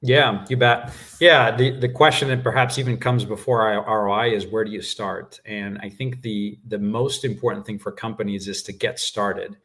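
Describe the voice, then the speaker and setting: A man whose first language is Portuguese, calmly explaining his work as a guest in a business interview, with frequent fillers. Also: fairly low pitch. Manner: measured explanations with frequent "uh" and "right?". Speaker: guest executive.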